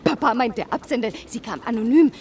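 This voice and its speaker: A woman playing an excitable, girlish young upper-class lady telling an anecdote in a German radio play. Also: on the high side, fast, far from the mic, a little hiss or hum, close to an everyday voice. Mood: amused, eager. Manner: animated, girlish storytelling.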